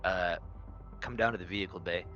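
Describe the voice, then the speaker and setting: A man's voice: deep voice, steady tone, a little fast, sharp tone, muffled recording. A man playing a tabletop roleplaying game in casual chat, blunt and bossy, swearing freely. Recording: music under the voice.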